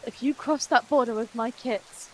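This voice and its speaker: A woman voicing a fierce, protective mother she-cat who talks in a forceful, threatening way. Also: fairly high pitch, moderate pace, slight background noise, lightly acted, poor audio.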